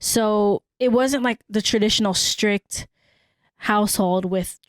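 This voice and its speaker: A woman's voice: medium pitch, slow, no echo. A young adult woman from San Francisco, first language Cebuano, co-hosting a podcast in thoughtful, easygoing casual chat. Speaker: podcast co-host.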